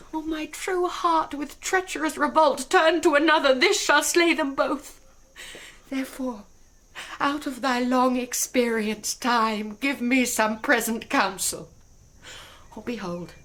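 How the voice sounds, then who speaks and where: A slow, desperate young British noblewoman. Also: sits high, fairly bright tone. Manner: intense, dramatic, sometimes breaking into whispers. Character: desperate, passionate young noble girl in love. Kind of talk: classical Shakespearean radio acting.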